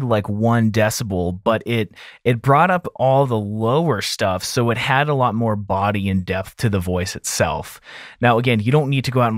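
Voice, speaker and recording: A man talking informally and thinking aloud as an audio engineer in a software tutorial voice-over. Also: mid-range voice, dry recording, studio quality.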